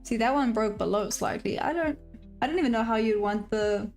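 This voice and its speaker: A woman's voice: fairly high pitch, fairly quick, a little mellow, faint music behind. A woman live-streaming her day trading, chatting casually and answering viewer questions as she goes. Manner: upbeat sign-off, friendly to viewers.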